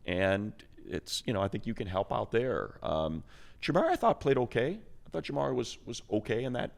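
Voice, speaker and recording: A man's voice: medium pitch, very animated, moderate pace, vocal fry. A man working as a sports analyst, talking football casually in an emphatic, colloquial way with repeated phrases. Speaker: sports radio announcer and analyst.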